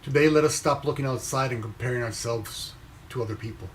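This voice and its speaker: Man, raised in Venezuela, young adult, motivational reading, slow measured phrasing, mid-pitched voice, faint background hiss. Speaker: inspirational speaker reading his own post. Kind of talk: reflective motivational reading and commentary.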